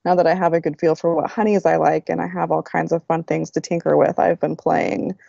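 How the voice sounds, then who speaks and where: A woman chatting as a podcast guest, talkative and quick to laugh, heard over dull lo-fi audio. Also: sits low, steady tone, gravelly, dark tone, dry recording. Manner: chatty, restarts, laughs easily. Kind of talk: casual interview chat.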